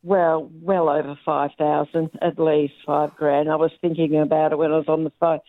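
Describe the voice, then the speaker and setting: A middle-aged Australian woman calling in, chatty and blunt, her voice a bit croaky. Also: low voice, level tone, moderate pace, no echo. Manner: blunt and chatty, swears casually. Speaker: caller. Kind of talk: casual phone-in chat. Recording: thin phone line.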